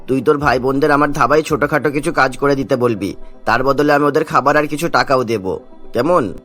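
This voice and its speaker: A man's voice: mid-range voice, brisk, a bit bright, soft background music, close to an everyday voice. A man voicing a kindly middle-aged male dhaba owner in a cartoon, talking in a fatherly, reassuring way.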